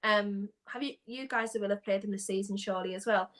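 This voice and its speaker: A young woman from North East England chatting casually about football as a guest, animated and quick to laugh. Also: mid-range voice. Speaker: guest fan.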